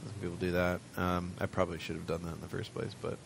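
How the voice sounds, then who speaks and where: A man hosting a fan podcast, talking loosely and padding his sentences with fillers. His voice sits low with a gravelly edge. A faint noise runs under the recording. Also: little pitch movement, quick pace, rough recording. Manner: rambling, many fillers. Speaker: podcast host. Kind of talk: casual chat.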